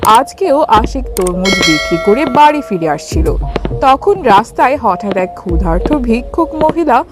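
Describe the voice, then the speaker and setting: Lively woman storyteller. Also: a little high, measured pace, over music. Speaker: narrator. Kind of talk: expressive scripted story narration.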